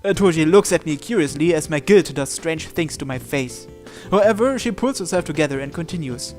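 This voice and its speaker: Higher-voiced man reading dramatically. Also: expressive, fairly quick, darkish tone. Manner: acts out the protagonist's lines. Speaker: video host reading a visual novel aloud. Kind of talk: dramatized reading of narration and dialogue. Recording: music playing behind the voice.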